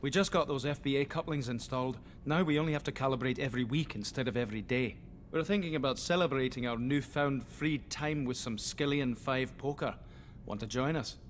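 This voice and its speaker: Actor as a cheeky engineer, mid-pitched. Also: male voice, brisk, a little hiss or hum, natural voice. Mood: cheerful, joking. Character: friendly, cheeky young male starship engineer. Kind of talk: video game cutscene acting.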